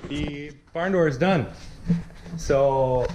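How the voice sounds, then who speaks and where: A man's voice: a little high, drawn-out words, very noisy. A man in his thirties hosting a casual workshop vlog, talking upbeat while he works in a large garage shop, picked up by an action camera. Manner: chatty, upbeat, talks while working. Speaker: woodworking channel host. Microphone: action camera on body or nearby. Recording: echoey garage with music behind.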